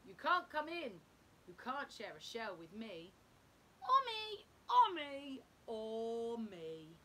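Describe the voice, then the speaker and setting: Woman putting on a bossy, grumpy storybook crab, raised pitch. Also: wildly swooping pitch, slow pace, sharp tone, a little hiss or hum, full character voice. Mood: bossy, defensive. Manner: bossy, emphatic. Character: possessive, grumpy crab guarding his shell, male.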